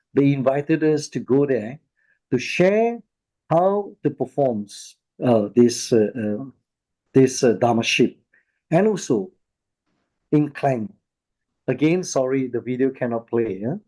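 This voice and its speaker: A man with a Malaysian accent speaks as a volunteer at an informal talk, sharing personal anecdotes. His voice is mellow and relaxed, at a middling pitch. His phrasing is halting and often circles back to repeat itself. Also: rough recording. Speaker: volunteer speaker at an online Buddhist charity talk.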